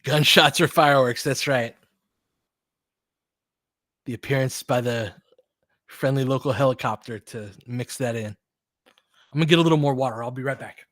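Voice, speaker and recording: A man's voice: medium pitch, normal speed, long pauses, fairly bright tone, dry recording. An American man hosts a casual basketball play-by-play in a mid-range voice. He calls the action in short, clipped phrases and drifts into chatty, informal asides. The sound is dry, with very little room. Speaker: fan host doing play-by-play commentary. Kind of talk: casual live basketball commentary.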